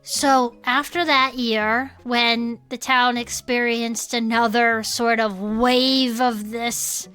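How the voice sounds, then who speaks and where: An actress playing an earnest small-town cryptid club member in an audio drama, telling local monster lore in a folksy, suspenseful way. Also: female voice, a little high, little pitch movement, slow, hardly any pauses, fairly bright tone, smooth, soft background music. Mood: eerie, suspenseful. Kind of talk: conversational audio fiction storytelling.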